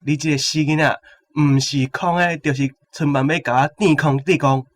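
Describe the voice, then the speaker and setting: A man's voice: fairly high pitch, steady tone, normal speed, very noisy. A man with a Taiwanese accent, a student contestant informally working out translations.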